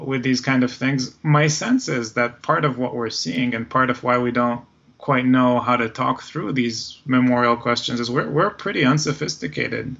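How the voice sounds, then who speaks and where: A man's voice: medium pitch, vocal fry, fairly bright tone, muffled recording. A man from Israel in a thoughtful conversation, talking like an academic and often searching for words.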